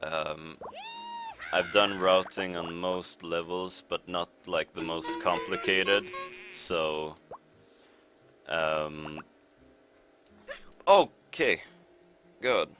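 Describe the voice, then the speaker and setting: A young Swedish man with a Swedish accent commentating his speedrun in a relaxed, rambling way, with many pauses and fillers. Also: sits low. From Sweden. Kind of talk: casual live commentary over gameplay. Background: game music.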